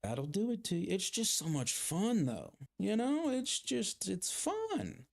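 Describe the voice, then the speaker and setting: A man's voice: high-pitched, wildly swooping pitch, takes it slowly, vocal fry. A man streaming a game, chatting casually with his viewers, rambling and poking fun at himself as he plays. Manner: rambling, self-deprecating, chatting with viewers while playing. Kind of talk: casual gaming livestream chat.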